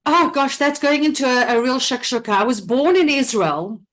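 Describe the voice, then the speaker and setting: A middle-aged woman from South Africa, talking casually as an interview guest, chatty and quick to laugh, with many restarts and fillers. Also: sits high, lively intonation, fairly bright tone. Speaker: interview guest, theatre company director.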